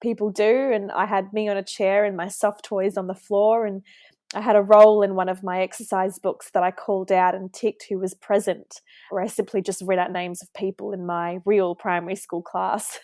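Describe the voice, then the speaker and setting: A woman's voice: mid-range voice, little pitch movement, normal speed, a little mellow, no room sound, clean studio sound. Young New Zealand woman telling her story. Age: 20s. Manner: friendly storytelling with filler words. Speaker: pharmacist guest. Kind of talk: podcast interview.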